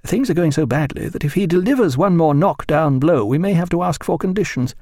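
A man's voice: fairly high pitch, expressive, fairly quick, a little mellow, pitched above the performer's own voice. A man voicing a formal, courteous and anxious Admiralty official in an audiobook, precise and deferential. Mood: grave, worried.

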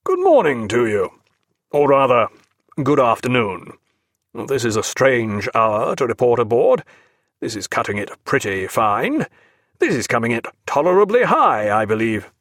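A man voicing a tall, commanding naval captain who scolds loudly and gruffly, in a dry recording. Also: mid-range voice, sing-song, measured pace, no room sound. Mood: scolding. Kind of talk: audiobook character voice.

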